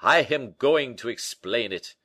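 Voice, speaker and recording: A man's voice: medium pitch, lively intonation, a little slow, bright, forceful tone, voice pushed up. Man acting a lugubrious, blustering rich nobleman, heavily emphatic. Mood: proud, emphatic. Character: Porthos, vain rich big nobleman, melancholy and blustering. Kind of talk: audiobook character acting.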